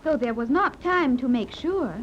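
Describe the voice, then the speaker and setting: Very high-voiced prim girl. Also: animated, moderate pace. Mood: prim, complaining. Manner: formal, uncontracted phrasing. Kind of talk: 1930s radio serial acting. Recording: dull, noisy vintage radio recording.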